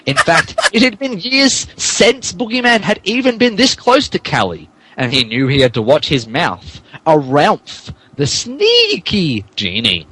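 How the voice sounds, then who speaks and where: A man's voice: sits high, huge pitch swings, measured pace, hardly any pauses, pushed and bright, breathy edge, poor audio. A man narrating a comic dramatic reading of fan fiction in a hammy way, stumbling over words. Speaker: narrator, podcast reader.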